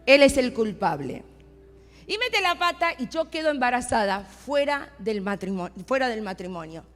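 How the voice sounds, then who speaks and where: High-voiced Argentine woman preaching in a hall. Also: big pitch swings, moderate pace, fairly bright tone. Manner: personal storytelling, rising to emphatic declarations. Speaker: pastor's wife preaching. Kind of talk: sermon testimony. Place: church sanctuary. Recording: long hall reverb with faint music.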